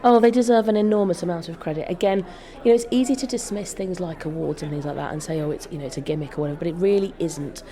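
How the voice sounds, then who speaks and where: A woman's voice: lowish voice, fast, dark tone. A woman giving an effusive, emphatic interview as a company executive. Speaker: interview guest, joint chief executive of a theatre company. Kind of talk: enthusiastic interview talk. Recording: busy crowd chatter behind voice. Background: event crowd.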